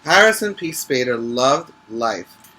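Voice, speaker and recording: Bright-voiced man reading slowly to preschoolers. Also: mid-range voice, very animated, poor audio. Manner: emphatic, stretching key words; instructive, checking in with listeners. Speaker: preschool teacher reading aloud. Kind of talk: animated picture-book read-aloud with asides to children.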